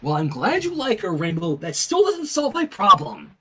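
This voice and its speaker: A man's voice: high-pitched, huge pitch swings, normal speed, pushed and bright, breathy edge, full character voice. A man voicing an earnest, exasperated young woman babysitter who sounds flustered and huffy in a story reading.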